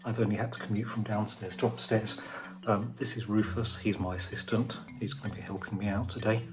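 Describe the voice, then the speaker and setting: A man's voice: fairly low pitch, flat delivery, fairly quick. A man, an audio drama producer, explaining things informally to camera. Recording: clear music under the voice. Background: background music.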